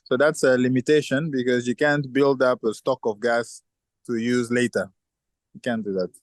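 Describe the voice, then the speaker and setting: A man's voice: mid-range voice, fairly even intonation, moderate pace, no echo, clean studio sound. A man who is a chemical engineer and founder, answering interview questions thoughtfully with many restarts and fillers. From southern Africa.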